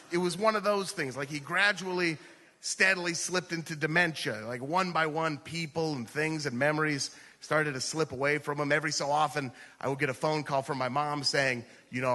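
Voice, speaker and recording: Male American stand-up storyteller, very bright forceful voice on the higher side, conversational delivery, live in a reverberant hall. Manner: conversational, peppered with "like" and "you know". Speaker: stand-up comedian. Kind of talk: comedic personal storytelling to a live audience. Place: theater stage at a live radio show.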